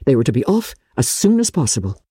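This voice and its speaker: A woman narrating classic literature in a theatrical, formal and measured storytelling manner, with a husky voice. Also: a bass voice, very animated, warm tone, no echo, studio quality. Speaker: audiobook narrator. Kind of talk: dramatic scripted reading of classic literature.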